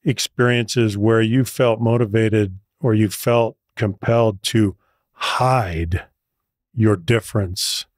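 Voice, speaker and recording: A man hosting an interview, listening closely and asking gentle follow-up questions. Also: fairly low pitch, fairly even intonation, takes it slowly, dry recording, studio quality. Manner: attentive, affirming listener who asks gentle follow-up questions. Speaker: podcast host, interviewer. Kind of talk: conversational interview.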